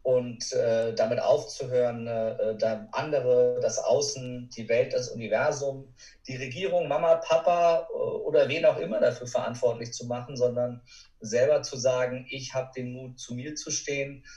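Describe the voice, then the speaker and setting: Casting: man as motivational podcast guest, earnest conversational delivery, clearly roomy sound, slightly far from microphone. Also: mid-range voice, fairly even intonation, moderate pace, dark tone. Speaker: podcast guest, coach and speaker. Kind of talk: conversational interview answers, motivational. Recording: roomy echo, distant from mic.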